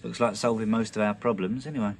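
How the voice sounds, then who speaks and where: A man's voice: on the low side, fairly even intonation, quick pace. An actor playing a cheerful, blinded working-class Englishman in a radio drama, chatty and wry, with colloquial turns and morbid jokes. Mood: wryly cheerful.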